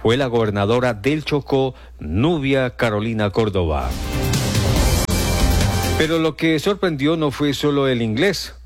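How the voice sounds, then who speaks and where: A man's voice: mid-range voice, expressive, a little slow. A man from Colombia hosting morning radio news, reading bulletins with some slips and restarts and repeating the time. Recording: music bed behind the voice. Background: music.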